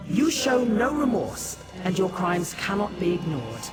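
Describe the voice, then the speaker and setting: A woman playing a solemn, stern living goddess passing judgment in a fantasy video game, regal and measured. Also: mid-range voice, big pitch swings. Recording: loud music over the voice.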